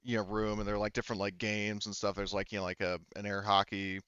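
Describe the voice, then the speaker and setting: A man's voice: lowish voice, little pitch movement, quick pace, a bit bright, clean tone, dry recording, muffled recording. A man running a tabletop game, narrating off the cuff in a casual, rambling way. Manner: casual, rambling, often says like. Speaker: game master.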